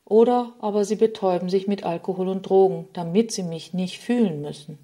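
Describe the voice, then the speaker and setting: A woman's voice: mid-range voice, a little fast, mellow, close to an everyday voice. Woman reading as sorrowful Sadness. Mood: lamenting. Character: sorrowful female Sadness, dejected and unloved.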